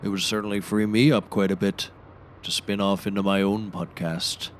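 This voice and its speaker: An actor playing a gruff, deadpan outdoorsman and old sea hand in a scripted comedy audio show, with a dry storytelling manner. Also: fairly low pitch, normal speed, a little hiss or hum, natural voice. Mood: dry, expansive.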